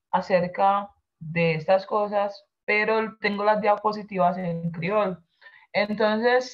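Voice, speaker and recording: Profesora lenta en videollamada apagada. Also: female voice, mid-range voice. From San Andrés Island, Colombia. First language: San Andrés Creole. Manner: slow, explains each word and translates it. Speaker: Creole language teacher. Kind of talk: online class over a video call. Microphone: video call. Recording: dull lo-fi video call audio.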